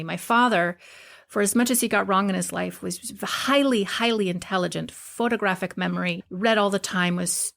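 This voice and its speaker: Gravelly middle-aged woman from Hawaii. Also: on the low side. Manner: candid, laughs often while telling stories. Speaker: podcast guest, spiritual teacher. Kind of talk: casual interview conversation.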